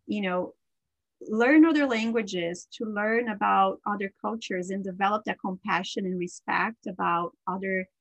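A woman whose first language is Portuguese, co-hosting a podcast in casual chat, talking freely with many fillers and quick laughs. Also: medium pitch, creaky, dry recording, rough recording. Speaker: podcast co-host.

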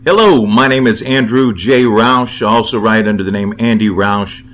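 A man with a lowish voice talks casually about film, as a writer giving commentary. His delivery is conversational and a little halting, and he states his opinions freely. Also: a bit bright.

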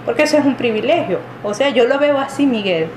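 A woman from Venezuela answers interview questions at length, pausing and restarting as she searches for words. Her voice sits a little high. The recording is roomy, with a clear noise bed behind her. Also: expressive, a little mellow. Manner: hesitant, many fillers and restarts. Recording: echoey room with clear background noise.

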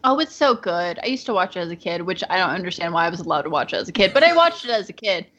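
A woman's voice: lowish voice, very animated, very fast, a bit bright, dull audio. A woman co-hosting a livestream podcast, bantering casually and teasing her co-host.

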